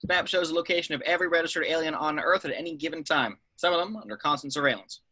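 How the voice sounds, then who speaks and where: A man reading the part of a brisk, dry-witted veteran secret agent in an amateur film script table read. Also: a little high, bright, forceful tone. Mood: dry, matter-of-fact.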